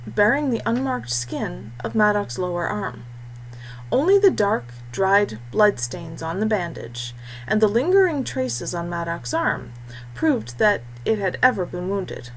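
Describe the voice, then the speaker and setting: Female audiobook narrator, scripted fiction, mid-pitched voice, noisy home-style recording with hum. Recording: clearly noisy background hum.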